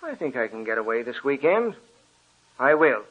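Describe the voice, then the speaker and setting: An actor playing a genial older family doctor in a 1940s radio drama, avuncular and reassuring. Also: male voice, medium pitch, sing-song, normal speed, husky. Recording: tinny, narrow old radio recording.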